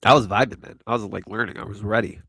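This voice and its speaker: A man's voice: on the low side, fairly even intonation, quick pace, sharp tone, breathy edge, dry recording, clean studio sound. A man hosting a casual live crypto chat, rambling and laid-back with lots of fillers, stuffy from allergies. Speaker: host.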